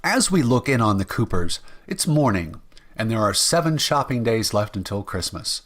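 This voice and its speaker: A man's voice: lowish voice, sing-song, moderate pace, a bit bright, soft background music. A man working as an announcer, reading a scripted introduction in radio-show style.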